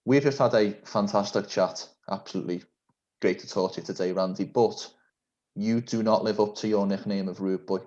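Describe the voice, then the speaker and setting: A man's voice: fairly low pitch, fairly even intonation, moderate pace, a little mellow, dull audio. A man hosting a video-call interview, asking questions in a polite, formal and complimentary way. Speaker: interview host.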